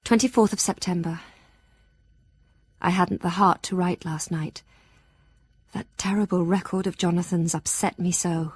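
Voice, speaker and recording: An actress playing an earnest, anxious young Victorian gentlewoman in an audio drama, narrating her journal in a formal, heartfelt, measured way. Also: fairly low pitch, steady tone, breathy edge, dry recording. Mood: troubled.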